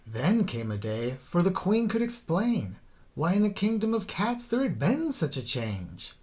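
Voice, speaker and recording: Slow, animated male storybook narrator. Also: high-pitched. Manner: theatrical, deliberate storytelling with drawn-out phrase endings. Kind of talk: dramatic scripted children's story narration in rhyme.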